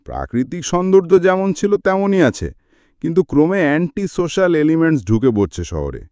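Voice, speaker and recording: A man reading a talkative male doctor in a story, gently grumbling and explaining things in a philosophizing way. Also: fairly high pitch, wildly swooping pitch, a little fast, clear, resonant tone, dry recording. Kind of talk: audiobook character dialogue.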